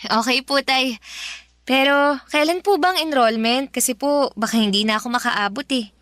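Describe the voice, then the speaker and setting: A woman's voice: sits high, normal speed, a bit bright, dry recording, studio quality. An actress playing a dutiful, polite young daughter who hides her hurt, speaking with a Filipino accent in radio drama style. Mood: respectful, hopeful. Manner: polite, deferential.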